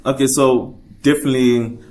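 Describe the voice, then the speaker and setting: A man's voice: medium pitch, steady tone, normal speed, darkish tone, slight background noise. A man hosting a podcast, asking loose, upbeat questions with a joking manner. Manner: upbeat, jokey, asks loosely strung questions. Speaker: podcast host. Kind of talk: casual interview. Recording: echoey room with faint ambience.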